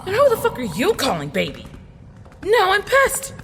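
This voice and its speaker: A woman's voice: very high-pitched, wildly swooping pitch, normal speed, fairly bright tone, big-room sound, slight background noise, heavily acted. A woman voicing a tough, foul-mouthed female glaive fighter who swears and talks brashly. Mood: angry. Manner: brash, cursing.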